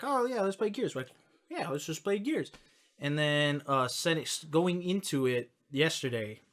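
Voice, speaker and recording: A young man co-hosting a casual gaming podcast, laughing often and retelling stories with animated energy. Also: a little high. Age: young adult. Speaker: podcast co-host. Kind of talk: casual chat.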